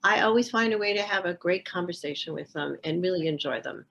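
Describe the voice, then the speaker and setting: A woman's voice: on the low side, fast talker, vocal fry, a bit bright, highs cut off. An older woman, an astrologer being interviewed, who talks in an emphatic, self-assured and talkative way. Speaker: astrologer guest. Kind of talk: casual interview conversation.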